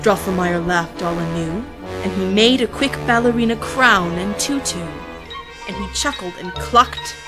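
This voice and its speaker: A woman's voice: medium pitch, measured pace, over music. A woman narrating a rhymed storybook parody in a theatrical, playful way, drawing out words for dramatic emphasis.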